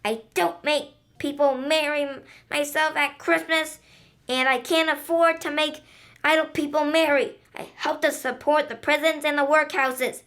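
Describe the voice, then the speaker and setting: A cold old male miser in a school radio play, curt and dismissive, voiced by a child. The voice sits high and light. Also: steady tone, a little slow, a bit bright.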